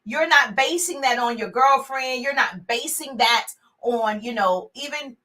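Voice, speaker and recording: A woman motivational speaker and business coach with a big, full voice, giving an energetic live-stream pep talk straight to her viewers. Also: sits high, unhurried. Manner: energetic, preachy, talks straight to viewers with repeated calls to share.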